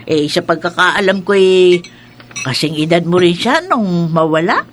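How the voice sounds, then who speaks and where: A woman's voice: fairly low pitch, expressive, measured pace, slight background noise. Feisty, swooping old village grandmother. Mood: reminiscing. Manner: folksy, exclamatory, teasing and fussing. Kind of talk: radio drama acting.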